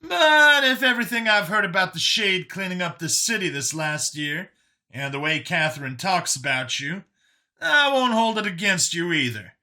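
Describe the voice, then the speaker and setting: A man's voice: high-pitched, expressive, moderate pace, sharp tone, voice pushed up, full character voice. A man voicing an earnest, wary but forgiving man in audiobook dialogue, speaking frankly and with emphasis. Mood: guarded, conceding.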